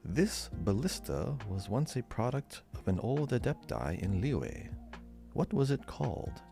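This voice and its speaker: A man hosting a casual quiz podcast, reading out questions deliberately and repeating them. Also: medium pitch, big pitch swings, gravelly, warm tone, soft background music.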